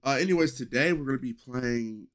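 A young man with a low voice chatting loosely to his stream audience from a headset in his bedroom, rambling with many fillers and restarts. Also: a little fast, fairly bright tone. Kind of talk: casual live-stream chat. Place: small bedroom streaming setup indoors.

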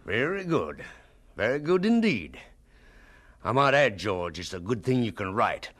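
An actor playing a bitter, sarcastic schoolteacher in a radio drama, stern and sneering in a theatrical way. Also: male voice, mid-range voice, sing-song, measured pace, fairly bright tone. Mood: mocking. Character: bitter, scornful adult male schoolteacher, sarcastic and domineering.

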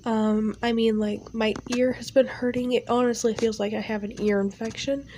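A woman's voice: on the high side, little pitch movement, moderate pace, mellow, a little hiss or hum. A young woman with a stuffy, nasal voice talks casually over her art, rambling with many fillers. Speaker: art channel creator. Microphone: home recording setup.

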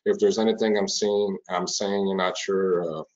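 A man's voice: sits low, flat delivery, normal speed, a little mellow. A middle-aged man from Quebec with a French Canadian accent, presenting a webinar in a chatty, informal way with frequent fillers. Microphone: video call. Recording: muffled lo-fi webinar audio.

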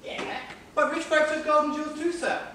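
A woman acting a deferential, slow-witted young male police constable in an amateur stage play. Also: sits high, fairly even intonation, a bit bright. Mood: deferential, puzzled. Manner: deferential, dim. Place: large theatre stage, indoors. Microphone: far audience camera. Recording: distant echoey theatre stage, faint noise.

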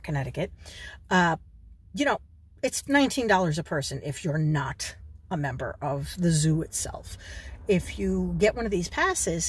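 A woman's voice: on the low side, husky. A woman in her forties from New York, vlogging casually from a parked car into a phone held at arm's length, chatty and given to digressions.

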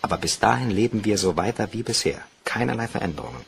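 A man's voice: fairly low pitch, fast, creaky, a little hiss or hum. An actor playing a self-assured, calculating embezzler persuading his lover in a crime radio play. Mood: cool, reassuring. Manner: confident, persuasive.

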